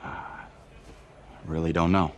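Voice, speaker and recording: Grave, gentle charity boss, deep voice, measured solemn manner, video game drama. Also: male voice, level tone, lo-fi sound.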